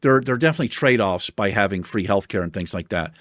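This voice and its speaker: A chatty American man with a soothing voice reads viewer comments in a lively, swinging way. He swears casually and talks like he's among friends. The sound is dry and close, with no room echo. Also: fairly low pitch, quick pace. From United States. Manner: animated, chatty, swears casually, laughs often. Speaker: YouTube host reading viewer comments. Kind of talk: casual chat.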